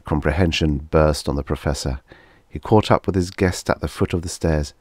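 A man reading humorous fiction as an audiobook narrator, in expressive scripted narration. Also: extremely low pitch, moderate pace, no echo, studio quality.

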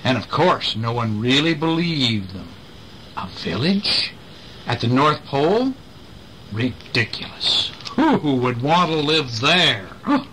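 A middle-aged man reading a picture storybook aloud to a child in an expressive way, with chatty asides and questions. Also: medium pitch, slow. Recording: home recording with faint background noise.

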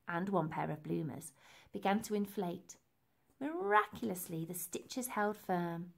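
A woman's voice: medium pitch, warm tone. A woman reading a children's story aloud as narrator, with dramatic, emphatic phrasing. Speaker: storybook reader.

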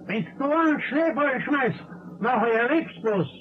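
An actor playing a grumpy, indignant old caretaker who grumbles and scolds with a Bavarian accent in a radio play. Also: male voice, very high voice, lively intonation, moderate pace. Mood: indignant scolding. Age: older. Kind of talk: 1960s German radio play acting. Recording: muffled, noisy old radio-play recording.

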